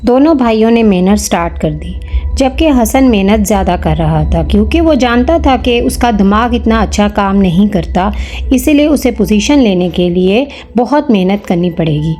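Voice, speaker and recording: A woman's voice: medium pitch, lively intonation, normal speed, mellow, faint music behind. A woman narrating a scripted moral story for children.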